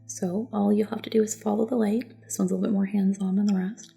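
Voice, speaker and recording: A woman speaks in a soft, dark, relaxed ASMR voice that often slips into a whisper. Her delivery is gentle and reassuring, with a noticeable creak as she gives unhurried instructions like a calm examiner. Music plays behind her. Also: medium pitch. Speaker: ASMR roleplayer as a test examiner. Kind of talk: soft-spoken ASMR personal attention roleplay.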